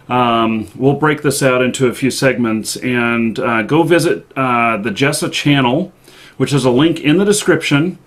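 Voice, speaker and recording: A man hosting a casual live show, rambling and filling pauses with ums and uhs, blunt and plain-spoken. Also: medium pitch, a bit bright. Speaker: show host.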